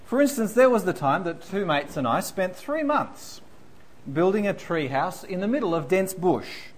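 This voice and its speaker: A pastor preaches with his voice leaping up and down in pitch, which sits a little high for a man. He tells his own blunders with a laugh before turning to weightier points. Faint background hiss sits under the talk. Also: normal speed. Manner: self-deprecating storyteller, animated, laughing through anecdotes. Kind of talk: sermon with humorous stories.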